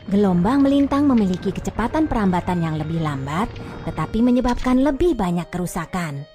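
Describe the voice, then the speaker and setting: A woman's voice: fairly high pitch, warm tone, natural voice. Woman as Kiki, a friendly cartoon tour guide. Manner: clear, patient explainer tone. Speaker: cartoon guide explaining earthquake warnings. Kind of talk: animated kids' educational cartoon dub.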